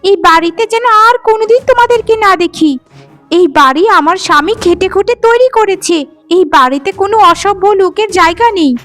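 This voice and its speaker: A woman voicing a haughty, spiteful sister-in-law in a cartoon melodrama, sharp, bossy and scornful. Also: very high voice, animated, fairly quick, soft background music. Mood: scornful, angry.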